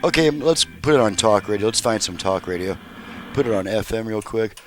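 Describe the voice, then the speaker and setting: A man casually demonstrating his repair work as the host of a video, chatty and folksy, with hesitant pauses. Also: lowish voice, lively intonation, slight background noise. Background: car radio playing music.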